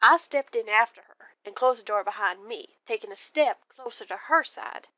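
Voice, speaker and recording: A woman narrating a first-person ghost story in a deliberate, expressive, dramatic way. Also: a little high, no echo, poor audio. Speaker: storyteller calling in to a horror radio show.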